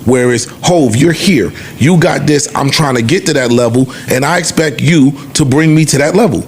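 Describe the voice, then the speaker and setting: A man's voice: fairly high pitch, normal speed, creaky, slight background noise. An American man talking casually in a podcast debate. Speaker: podcast panelist.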